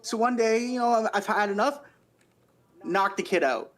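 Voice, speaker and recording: A man's voice: sits high, normal speed, a bit bright. A man from Canada chatting casually on a podcast, telling sweary anecdotes and often laughing as he goes. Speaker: podcast speaker.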